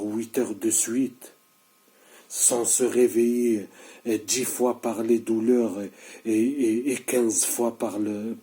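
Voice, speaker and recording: Tired guy in his 30s, kinda low and dark voice, keeps restarting and going over the same thing. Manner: repetitive, insistent, halting with many restarts. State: sleep-deprived. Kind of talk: casual video monologue.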